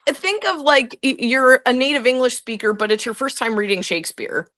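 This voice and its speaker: Bright-voiced chatty woman. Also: a little high, moderate pace. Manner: chatty, lots of "like" and restarts. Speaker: guest historian. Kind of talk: casual interview answers.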